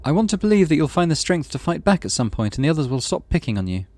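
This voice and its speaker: A man voicing a gentle, chatty teenage girl who speaks with pity in a visual novel. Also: fairly high pitch, sing-song, quick pace, voice pushed up. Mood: soft, earnest.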